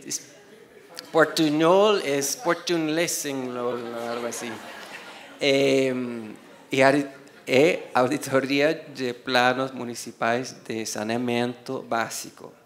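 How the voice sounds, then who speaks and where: A non-native man in his forties reads out award nominees at a slow, unhurried pace. He hesitates, fills pauses and restarts words as he stumbles over names. His voice is picked up by a desk microphone at a panel table in an auditorium. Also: medium pitch, a little hiss or hum. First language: not Spanish. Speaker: award presenter. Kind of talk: reading award nominees at a conference. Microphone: desk microphone on a stand.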